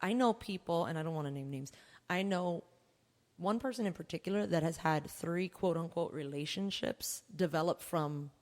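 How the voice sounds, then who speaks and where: A woman's voice: fairly low pitch, moderate pace, a little mellow. A woman chatting casually as a talk show co-host. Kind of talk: casual chat. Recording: long hall-like reverb.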